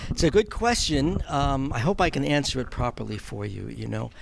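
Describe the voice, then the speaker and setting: Middle-aged New York man, gravelly voice. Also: mid-range voice, sing-song, moderate pace, background noise. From Long Island, New York, United States. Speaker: guest, film producer and charity house director. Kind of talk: conversational interview.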